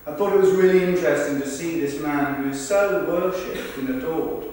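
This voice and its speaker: A man's voice: fairly high pitch, fairly quick, darkish tone, lightly acted. A man performing verbatim theatre monologues, earnestly telling people's accounts in a rehearsal. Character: people recounting a football club's celebrity visit. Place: large reverberant rehearsal room. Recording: echoey hall, distant mic, faint noise.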